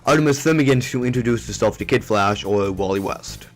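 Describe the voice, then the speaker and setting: A man narrating the action of an amateur superhero audio drama in an even storytelling voice. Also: mid-range voice, a little fast, faint music behind.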